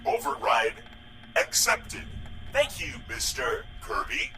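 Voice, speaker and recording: An actor voicing a polite machine elevator system that gives flat, clipped announcements. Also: male voice, slow pace, over loud music, natural voice, low-quality recording. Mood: mechanical. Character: computer elevator voice, mechanical, polite.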